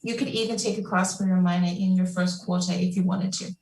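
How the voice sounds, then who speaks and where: A woman's voice: fairly low pitch, steady tone, fairly quick, darkish tone. Upbeat woman advisor in an echoey room. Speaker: academic advisor. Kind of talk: panel answer on a webinar. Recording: echoey room, distant mic.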